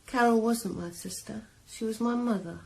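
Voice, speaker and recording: An actress playing a young woman killer calmly confessing her revenge in a 1980s radio drama. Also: fairly high pitch, gravelly, low-quality recording. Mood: cold calm. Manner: calm, measured, quietly cold. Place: sauna.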